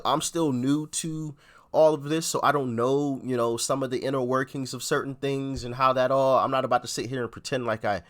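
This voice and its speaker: A man talks loosely through his opinions, stumbling and repeating himself as he goes. He sounds like an everyday host on a reaction channel. His voice sits at an ordinary middle pitch. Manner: rambling, repeats words, chuckles. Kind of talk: casual reaction commentary.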